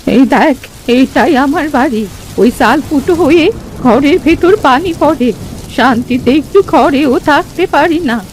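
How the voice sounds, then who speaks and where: An old granny from a village cartoon tale. Her voice is high, scolding one moment and pleading the next. Rain noise sits behind her. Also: a little slow. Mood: coaxing, plaintive. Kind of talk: Bengali cartoon story voice acting. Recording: noisy, with rain ambience.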